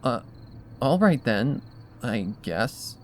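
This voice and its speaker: Guy reading as a nervous polite girl pony, hesitant, guarded, lively pitch, story with noise behind. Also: drawn-out words. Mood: uneasy. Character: anxious, polite, guarded young female pony.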